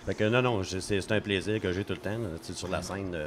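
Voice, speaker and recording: A man, a local performer, chatting quickly in a casual podcast and laughing at his own jokes. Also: low-pitched, over a noisy crowd. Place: pub.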